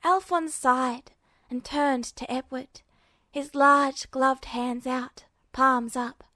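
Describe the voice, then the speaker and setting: A woman's voice: high-pitched, steady tone, takes it slowly, sharp tone, dry recording, clean studio sound. A woman narrating a story from a script as an audiobook-style reader. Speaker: podfic narrator.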